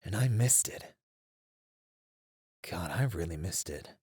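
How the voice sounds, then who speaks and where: Guy playing a driven detective in an asmr roleplay, thinking out loud, haltingly, pitch moves around a lot, super dry recording. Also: expressive, husky, no echo, exaggerated voice. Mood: regretful, grim. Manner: halting, musing, thinking aloud. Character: driven male detective piecing together a serial killer case. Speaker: voice actor in a roleplay. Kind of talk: ASMR roleplay, dramatic one-sided dialogue.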